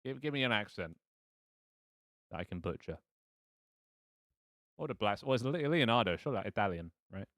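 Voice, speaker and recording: A man's voice: lowish voice, animated, normal speed, pauses often, fairly bright tone, no echo, clean studio sound. A man casually running a tabletop game as game master, hesitant, self-deprecating and prone to swearing.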